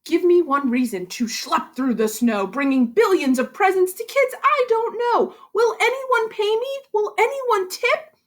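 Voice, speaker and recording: A woman's voice: very high voice, lively intonation, measured pace, voice pushed up. A woman voicing a grumpy, wisecracking male diner owner in a storybook, complaining in exclamations. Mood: incredulous complaining.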